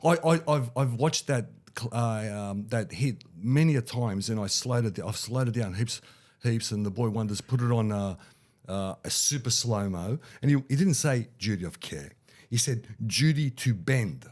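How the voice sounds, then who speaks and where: A man with a head cold, a little nasal, rambling excitably in casual sports chat as a podcast co-host. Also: mid-range voice, normal speed.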